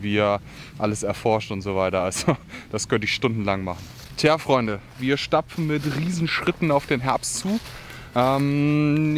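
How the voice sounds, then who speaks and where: A man's voice: medium pitch, slight background noise. A young man chatting to camera in a forest as an outdoor vlogger, opinionated and loose, with many fillers and asides. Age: young adult. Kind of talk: casual chat to camera. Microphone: camera held at arm's length.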